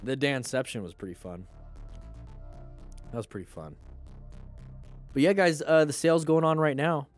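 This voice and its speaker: A man's voice: medium pitch, moderate pace, long pauses, soft background music. A man hosting a casual livestream, reviewing motorcycle clips and chatting loosely between them, his voice stuffy and nasal from congestion. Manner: chatty, loose asides, plugs a sale.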